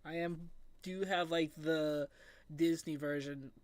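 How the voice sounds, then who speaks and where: A man on a voice call chatting casually, thinking aloud in halting phrases. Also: a little high, fairly even intonation, no room sound. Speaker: guest on voice call. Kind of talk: casual chat while drawing.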